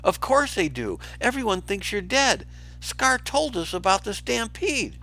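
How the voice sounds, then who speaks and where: A man's voice: sits high, very animated, unhurried, bright, forceful tone, voice pushed up, full character voice, poor audio. A man voicing a grown lioness from a children's storybook, an insistent, pleading and devoted friend. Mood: excited, insistent. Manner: insistent, emphatic.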